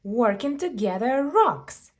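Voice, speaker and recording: A woman reading a picture book aloud for children, expressively, with drawn-out emphasis on phrases. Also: on the high side, big pitch swings. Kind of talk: animated read-aloud picture book narration.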